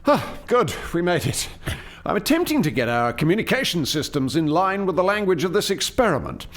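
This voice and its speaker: A man's voice: high voice, very animated, a little fast, a bit bright, a little hiss or hum, heavily acted. An actor playing an eager, proud alien scientist who formally explains his experiment in a radio play. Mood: eager, welcoming. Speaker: lead scientist presenting a project. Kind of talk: radio play dialogue, expository.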